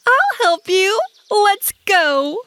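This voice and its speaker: A woman voicing a helpful but easily frightened female elephant in an animated children's fairy tale, theatrical and emphatic. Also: very high-pitched, drawn-out words, heavily acted. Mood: bold, protective. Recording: music behind the voice.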